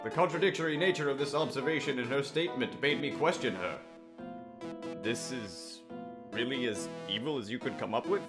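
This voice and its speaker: A man's voice: mid-range voice, a little fast, close to an everyday voice. A man playing an earnest questing knight who tells his own fantasy tale in a dramatic manner, with a wry streak. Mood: tense, puzzled, then wry, unimpressed. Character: earnest questing young male knight, wry and easily startled. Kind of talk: first-person dramatic fantasy narration. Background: music.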